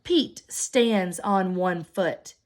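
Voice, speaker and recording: A woman, a preschool teacher, reading a picture book aloud to young children in a slow, expressive storytelling manner. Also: on the low side.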